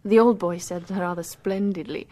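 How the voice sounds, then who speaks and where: An actress playing a policeman's gentle, candid artist wife in a radio drama, intimate and reflective as she recounts things. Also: lowish voice, steady tone, moderate pace, natural voice.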